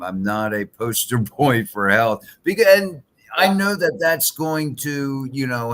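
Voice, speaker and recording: Slow-talking host in his fifties. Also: medium pitch, fairly bright tone. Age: 50s. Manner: slow, hesitant, many fillers. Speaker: podcast host. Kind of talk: casual interview talk.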